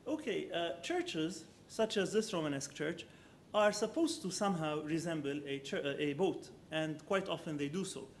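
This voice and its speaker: Lecturing man with a higher voice. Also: animated, a little hiss or hum. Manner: measured, thinking aloud, with frequent ums and self-corrections. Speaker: architecture professor giving a lecture. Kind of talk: academic lecture with slides.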